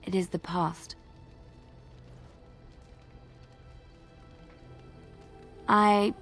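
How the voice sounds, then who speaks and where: A woman playing a guarded, wistful minstrel in a video game, with a gentle storytelling manner. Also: on the low side. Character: wistful, guarded young woman minstrel, former spy turned devout. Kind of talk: video game dialogue acting.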